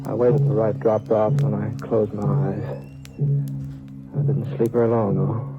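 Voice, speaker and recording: An actor playing an easygoing cowboy drifter in a radio western, talking in a folksy, halting, understated way as narrator and peacemaker. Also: male voice, fairly low pitch, level tone, natural voice. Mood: reflective narration. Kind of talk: 1950s radio western acting and first-person narration. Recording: dull old radio recording, music behind.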